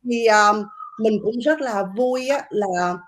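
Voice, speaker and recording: A woman's voice: on the high side, slow, slight background noise. A woman, slightly nervous, introducing herself in broken English on a video call. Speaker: nurse and first-time guest.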